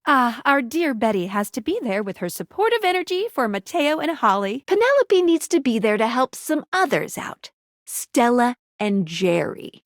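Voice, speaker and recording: High, chipper young game guide. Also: female voice, no echo, clean studio sound. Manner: perky, expressive, playful. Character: chipper, helpful young female magical guide, quirky and encouraging. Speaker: voice actor playing a guide character in a dating simulator game. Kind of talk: animated video game character dialogue.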